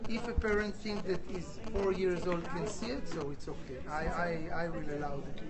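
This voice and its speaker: A woman puppeteer chatting in an interview, speaking hesitant, halting non-native English. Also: lowish voice, low-quality recording. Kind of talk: casual interview chat. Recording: live event with crowd chatter behind. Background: crowd chatter.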